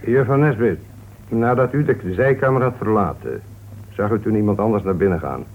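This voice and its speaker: Actor as a low-voiced police inspector, vintage radio. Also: male voice, moderate pace, close to an everyday voice. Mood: courteous questioning. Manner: polite, musing, punctuated with small interjections. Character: police inspector, courteous, methodical, calm man. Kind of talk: Dutch radio play acting. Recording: old radio recording, narrow tinny band, hiss.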